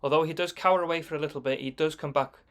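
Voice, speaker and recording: A man's voice: a little high, steady tone, rapid-fire, a bit bright. A man giving casual, explanatory voice-over commentary on a video game.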